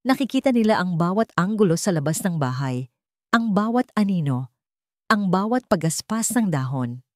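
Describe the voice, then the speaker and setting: A woman's voice: on the low side, sing-song, normal speed, no room sound, clean studio sound. A woman with a Filipino accent reading a dramatic story as a scripted narrator.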